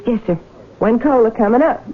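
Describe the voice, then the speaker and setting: A woman's voice: fairly high pitch, a little fast, close to an everyday voice. Actress as a wistful young woman in old radio drama. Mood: curt, guarded. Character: weary, guarded young waitress, later devoted farm wife. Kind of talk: 1970s radio drama acting and narration. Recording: tinny 1970s radio broadcast, music behind.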